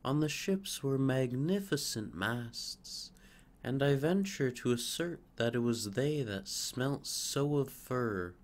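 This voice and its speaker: A man voicing a well-travelled male stork who speaks in a measured, stately way. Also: mid-range voice, lively intonation. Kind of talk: storybook reading.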